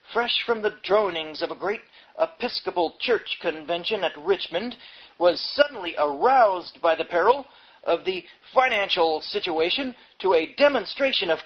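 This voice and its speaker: Man narrating a book, dull lo-fi sound. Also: high-pitched. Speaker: audiobook reader. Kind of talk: book narration with wry asides.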